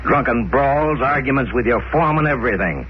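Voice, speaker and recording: A male actor plays a stern, impatient official running a space colony in an old radio drama. He scolds with dry sarcasm and very varied intonation. The recording is dull, with clear background noise. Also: mid-range voice, lively intonation, a little fast, lightly acted. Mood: sarcastic, scolding. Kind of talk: 1950s radio drama acting.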